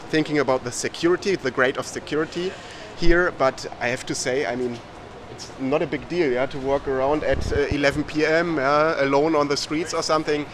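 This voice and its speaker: A man from Germany, answering interview questions haltingly and repeating words. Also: mid-range voice. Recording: outdoor street noise.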